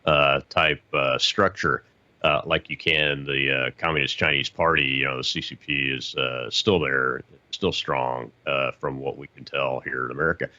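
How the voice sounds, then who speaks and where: A male host asking interview questions in a halting way, with many fillers and restarts. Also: very deep voice, steady tone, sharp tone, dry recording, dull audio.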